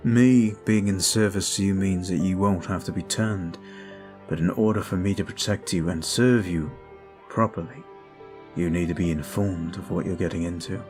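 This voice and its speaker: A man voicing a brooding male vampire in an ASMR roleplay, talking in a slow, intimate, confiding way. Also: low voice.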